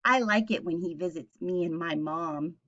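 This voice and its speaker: A woman teacher reading a picture book aloud and speaking to young children with simple questions and clear explanations. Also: lowish voice, moderate pace, fairly bright tone, highs cut off.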